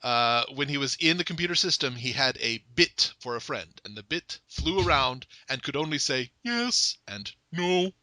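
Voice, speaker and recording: A man's voice: mid-range voice, expressive, moderate pace, hardly any pauses, bright, forceful tone, no room sound. A man hosting a casual chat, playful and joking. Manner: playful, joking, frequent laughs. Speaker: podcast host.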